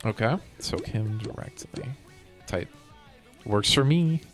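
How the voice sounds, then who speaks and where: A man's voice: lowish voice, lively intonation, slow. A man giving casual gameplay commentary as a streamer, with game music behind him.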